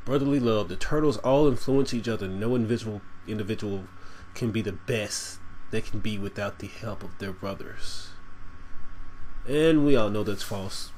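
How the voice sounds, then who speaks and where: A man's voice: lowish voice, moderate pace, darkish tone, a little hiss or hum, low-quality recording. A man with a cold giving loose, joking gameplay commentary, swearing now and then. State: has a cold, sniffly. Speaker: let's play gamer. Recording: voice over game audio with music.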